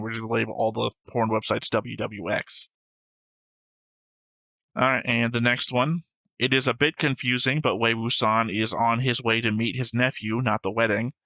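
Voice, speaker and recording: A man with a bright, forward voice recorded very dry. He hosts casually, reading comments aloud and bantering, quick to argue a point with a wisecrack. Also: medium pitch, level tone, gravelly, no echo. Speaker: reaction show host.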